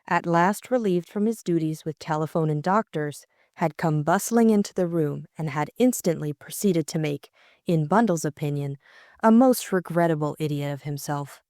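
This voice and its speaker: A woman's voice: sits low, moderate pace, a little mellow, dry recording, studio quality. A woman reading a story aloud as an audiobook narrator.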